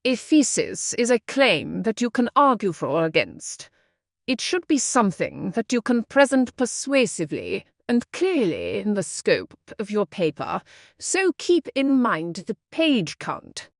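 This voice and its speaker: Lively woman reading aloud, dry sound. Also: mid-range voice, a little slow, dry recording. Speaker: exam practice reader. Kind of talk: scripted read-aloud of short educational passages.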